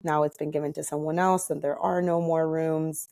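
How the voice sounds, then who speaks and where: A woman's voice: sits low, little pitch movement, normal speed, creaky, dark tone, no echo, studio quality. A woman from Hawaii with an American accent, chatting as an interview guest and retelling personal stories with quoted dialogue. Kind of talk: casual personal storytelling in conversation.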